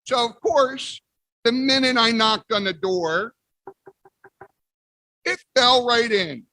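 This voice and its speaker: A man reading a picture book aloud as the wolf, playful with big pauses. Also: very high voice, pushed and bright. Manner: playful, exaggerated sneezes and storyteller pauses. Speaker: guest reader. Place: library event.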